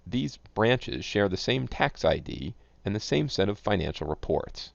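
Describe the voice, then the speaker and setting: Deep-voiced man reading a software tutorial. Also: sing-song, a little slow, creaky, no echo. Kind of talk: scripted instructional narration. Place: close, dry voice-over recording. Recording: dull, lo-fi close voice-over.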